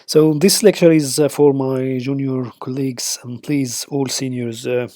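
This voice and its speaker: A middle-aged man whose first language is Arabic walks through an informal lecture, stopping often on ums and uhs and restarting his sentences. His voice is mellow and relaxed, sitting at an ordinary pitch for a man. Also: unhurried. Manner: hesitant, many fillers and restarts. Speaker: radiation oncology lecturer.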